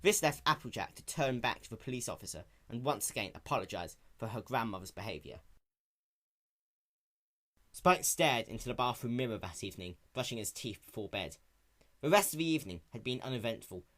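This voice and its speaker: Bright, animated man reading a story. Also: mid-range voice, a little fast. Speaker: narrator of a fan fiction reading. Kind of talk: dramatic scripted story narration.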